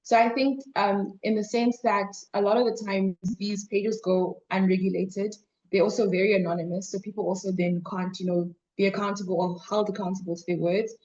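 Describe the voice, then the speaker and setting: A woman's voice: mid-range voice, little pitch movement, fairly quick, darkish tone, highs cut off. A young woman student, speaking on a panel in a hesitant way with many fillers. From Zimbabwe, raised in South Africa. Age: young adult. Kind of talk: panel remarks.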